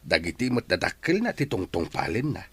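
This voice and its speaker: A man's voice: low-pitched, wildly swooping pitch, fairly quick, vocal fry, a bit bright, slightly rough, no echo, full character voice. An actor playing a poor, regretful middle-aged father in an Ilocano audio drama, pleading earnestly with his son. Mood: earnest, wounded.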